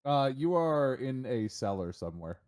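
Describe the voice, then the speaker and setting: A man's voice: mid-range voice, very animated, moderate pace, a little mellow. A man running a tabletop game, narrating casually and informally as game master.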